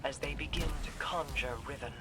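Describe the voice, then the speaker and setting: A woman playing a regal, solemn queen with formal, deliberate delivery in a fantasy video game. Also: very deep voice, loud music behind the voice. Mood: solemn command. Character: regal, solemn queen commanding ancient magic.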